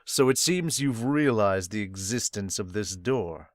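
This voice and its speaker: A man voicing a calm, serious young male swordsman from a manga, measured and quiet. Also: on the low side, no room sound, clean studio sound.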